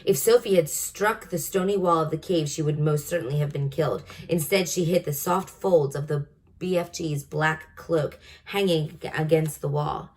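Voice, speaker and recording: A woman with a lowish voice reads a children's story aloud. Her narration is expressive, in the manner of a storyteller. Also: normal speed.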